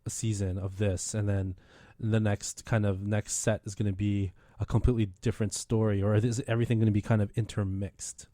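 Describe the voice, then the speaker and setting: A man's voice: lowish voice, little pitch movement, brisk, warm tone, no room sound, studio quality. A man hosting a casual radio interview, talking eagerly with many restarts. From Philippine heritage. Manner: enthusiastic, rambling with many restarts. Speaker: radio show host.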